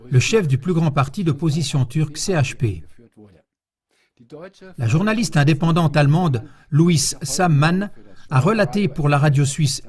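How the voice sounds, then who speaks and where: A male voice-over narrator reads a news segment in a steady, formal manner. His voice sits somewhat higher than most men's, and he keeps a measured, scripted delivery throughout.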